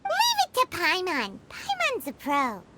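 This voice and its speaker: A woman's voice: very high voice, huge pitch swings, measured pace, heavy background noise, heavily acted. A woman voicing a small, childlike, chirpy fairy companion in a video game. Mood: boastful. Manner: speaks of self in third person, exclaiming. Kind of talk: video game character acting. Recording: prominent game music behind.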